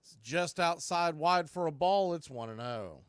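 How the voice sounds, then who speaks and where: A man with a high voice calls baseball play-by-play in the style of live radio. His delivery is punchy and clipped, rising with the action, and the sound is close and dry. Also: lively intonation, measured pace, clear, resonant tone, no room sound.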